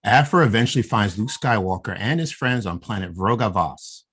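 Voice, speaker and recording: A man co-hosting a casual podcast, reading a story recap in a steady, plain way with small asides. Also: fairly low pitch, moderate pace, slightly rough. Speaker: podcast co-host.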